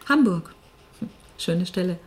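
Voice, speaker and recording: Lively low-voiced woman, wry museum worker. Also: slow, husky, full character voice. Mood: wry, subdued. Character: restless, wry female provincial museum worker. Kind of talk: naturalistic radio drama dialogue.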